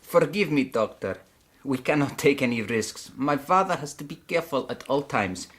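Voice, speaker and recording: A man's voice: medium pitch, animated, moderate pace, fairly bright tone, natural voice. An actor in a radio drama playing a solicitous young Russian nobleman's son, formal, earnest and over-polite. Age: young adult.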